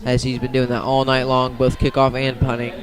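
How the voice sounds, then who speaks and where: Even-toned man calling football. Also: mid-range voice, fairly quick, a crowd in the background. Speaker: play-by-play sports commentator. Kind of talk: live high school football radio play-by-play.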